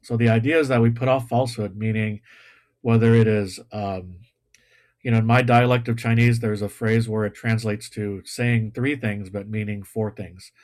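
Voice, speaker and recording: A man giving a sermon to his congregation over a video call, relaxed and self-deprecating, with pop-culture asides. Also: fairly low pitch, moderate pace. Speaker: pastor. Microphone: video call or livestream.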